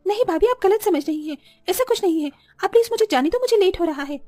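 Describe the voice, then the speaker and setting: An earnest young seamstress from an animated village tale, voiced by a woman. Her voice is high and fast, with a polite, pleading manner. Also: soft background music. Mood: pleading, distressed. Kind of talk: animated story dialogue.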